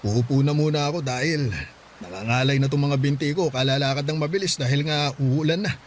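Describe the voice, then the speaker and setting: A man's voice: fairly high pitch, brisk, slight background noise, close to an everyday voice. An actor playing a hardworking father in his forties, speaking tenderly and reassuringly in a radio drama. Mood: gentle. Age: 40s. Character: hardworking widowed father, mid-forties, gentle.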